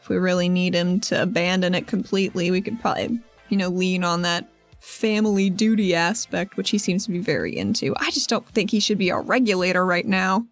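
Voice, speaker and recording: A woman's voice: on the low side, brisk, soft background music, natural voice. Woman playing a casual, wry fighter. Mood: wry, persuasive.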